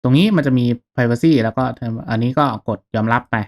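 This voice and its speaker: A man narrating a casual step-by-step software tutorial in an informal, instructional manner. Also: medium pitch, moderate pace. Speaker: tutorial host.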